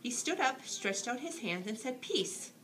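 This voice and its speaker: Animated woman reading a bedtime story. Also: on the high side, moderate pace, low-quality recording. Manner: expressive, dramatic storytelling for children. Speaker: storybook reader. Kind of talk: bedtime story read aloud.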